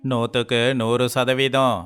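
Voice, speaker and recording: A man performs a crooked village moneylender for a cartoon fable. He is a sly bully who blusters at a medium pitch. Music sits clearly under the voice. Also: level tone, a little slow, fairly bright tone, close to an everyday voice. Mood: evasive. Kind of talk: animated moral story dialogue.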